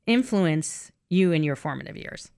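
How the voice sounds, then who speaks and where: Brief: woman host, somewhat low voice with very lively pitch, introduces guest. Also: normal speed. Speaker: interviewer. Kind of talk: introducing guest and asking questions.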